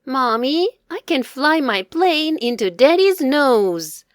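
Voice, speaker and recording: A woman voicing an affectionate, playful young boy in a children's storybook, speaking eagerly in simple, expressive phrases. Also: high-pitched, slow, no echo, clean studio sound, pitched above the performer's own voice, full character voice. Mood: excited. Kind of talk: children's storybook read-aloud.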